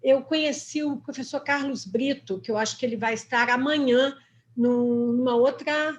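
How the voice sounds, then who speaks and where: A woman's voice: high-pitched, fairly even intonation, moderate pace. A woman from Brazil with a Brazilian Portuguese accent, a professor joining an online talk to thank the speaker in a friendly, rambling way. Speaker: audience member, professor.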